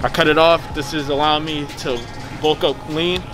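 A man's voice: a little high, steady tone, moderate pace, music in the background. A man in his early twenties chatting upbeat to viewers while shopping in a grocery store. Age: 20s. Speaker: fitness vlogger. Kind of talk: casual vlog while shopping.

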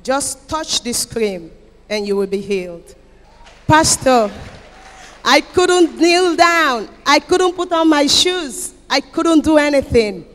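A woman's voice: high-pitched, lively intonation, slow pace, fairly bright tone. A middle-aged woman from Equatorial Guinea giving an emotional testimony to a congregation, declaiming emphatically and repeating phrases into a handheld mic. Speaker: church member giving testimony. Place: church auditorium. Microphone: handheld microphone. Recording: echoey hall PA with music behind.

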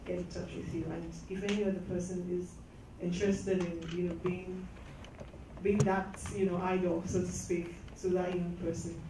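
Flat-voiced woman describing mentoring programs. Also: lowish voice, normal speed. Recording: very echoey room, noisy, distant mic.

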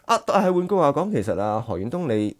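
Brisk Hong Kong Cantonese male interviewer. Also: a little high, sing-song. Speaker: radio host, interviewer. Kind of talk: casual interview questioning. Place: small radio studio, masked. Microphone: desk studio microphone.

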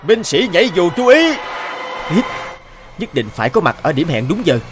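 A man's voice: high voice, sing-song, normal speed, noisy background. A man voicing a stern, dutiful army squad leader in a cartoon, formal and commanding. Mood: barking orders.

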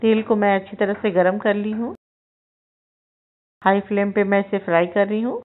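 Female cooking-channel host, calm and instructive, talks through every step as she cooks. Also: mid-range voice, normal speed, narrow, tinny audio. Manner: calm, instructive, narrates each action as she does it.

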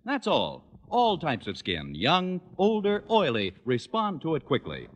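Highly animated old-time American radio pitchman. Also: a little slow. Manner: emphatic, enthusiastic selling. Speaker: radio commercial announcer. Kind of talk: 1940s radio advertising pitch. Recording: reverberant old radio studio recording.

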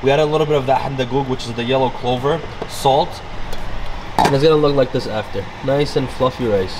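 A man's voice: medium pitch, brisk, noisy background. Upbeat young man narrating cooking. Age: young adult. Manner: upbeat, chatty, gushing. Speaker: cooking vlogger. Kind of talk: casual cooking commentary. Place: large home kitchen.

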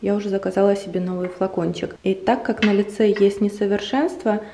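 A young woman chatting to the camera at home, enthusiastic and talkative, with drawn-out hesitations. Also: medium pitch, fairly even intonation, dark tone, a little hiss or hum. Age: young adult. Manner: chatty, enthusiastic about cosmetics, drawn-out fillers. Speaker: beauty vlogger. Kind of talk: casual chat to camera. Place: home room indoors.

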